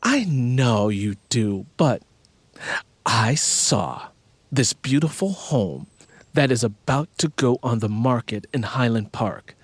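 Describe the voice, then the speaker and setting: A man's voice: medium pitch, expressive, takes it slowly, husky, no room sound. A man voicing an enthusiastic wife who coaxes and persuades in audiobook dialogue. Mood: eager, persuasive. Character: adult wife, eager, persuasive, house-proud real estate agent.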